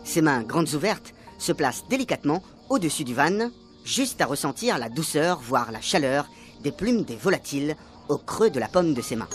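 A man narrates a radio serial in a dramatic, scripted style. His fairly high voice moves with animated intonation. Faint music runs underneath. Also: moderate pace.

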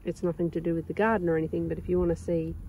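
A young woman with a croaky sore throat, chatting casually and rambling with lots of ums while filming her garden outdoors on a phone. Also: on the low side, level tone, very fast, a little hiss or hum. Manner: rambling, chatty, with many ums and soft laughs. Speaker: gardening vlogger. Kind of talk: casual chat.

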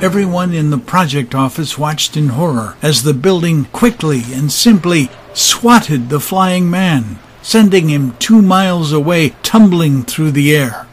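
A man's voice: a little high, animated, measured pace, few pauses. A man narrating a steampunk adventure audio drama as a scripted, dramatic storyteller. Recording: music under the narration.

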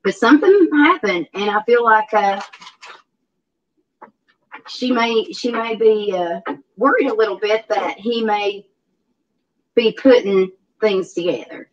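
A middle-aged woman with a Southern drawl lays out tarot cards and talks them through in a loose, rambling way, pausing often to think. She sits back from her webcam, so her voice sounds a little distant on the video call. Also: medium pitch, muffled recording. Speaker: tarot reader guest. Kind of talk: casual tarot reading on a livestream. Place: home room indoors.

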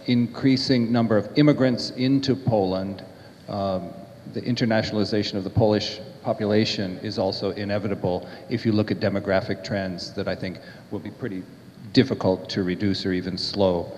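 Measured middle-aged man, echoey dull recording. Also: fairly low pitch, fairly even intonation, a little mellow. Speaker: panelist, diplomat. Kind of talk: unscripted panel remarks. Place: panel table in brick-walled indoor hall. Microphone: handheld microphone. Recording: echoey hall, slightly dull sound.

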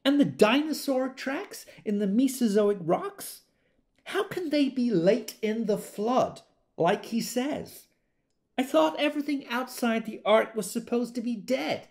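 An actor playing an earnest, exasperated government geologist who explains things conversationally in an audio drama monologue. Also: male voice, extremely high pitch, expressive, unhurried, a little mellow, full character voice.

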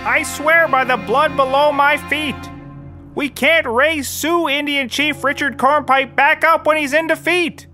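An actor playing a self-serious, bumbling cult brother in a comedy audio drama, with mock-solemn, overwrought delivery. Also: male voice, very high-pitched, steady tone, measured pace, faint music behind.

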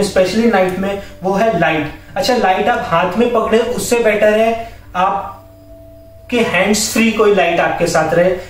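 A young adult man with an Indian accent explaining gear to viewers in an instructive, friendly-address vlog style. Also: high-pitched. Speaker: vlogger presenting camping and hiking gear. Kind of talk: explanatory product showcase to camera, Hindi mixed with English. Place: indoors, bare home room with wooden floor. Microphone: camera or phone a few metres away. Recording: echoey bare room, distant camera microphone.

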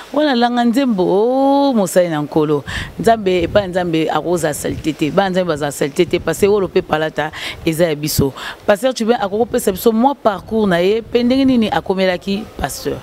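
A woman's voice: fairly low pitch, darkish tone, slight background noise. A woman hosting a television interview in a church hall, talking with a handheld mic and many fillers. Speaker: TV host.

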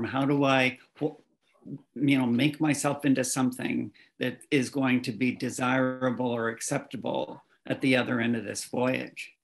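An older man interviewing an author over a headset from a home study, halting with stammered restarts as he shapes his questions. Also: medium pitch.

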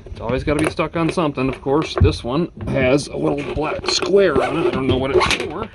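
A man giving a casual how-to narration of his DIY work, plain-spoken and relaxed, with plenty of "uh" fillers. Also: fairly high pitch, fairly quick, very noisy. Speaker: DIY mechanic vlogger.

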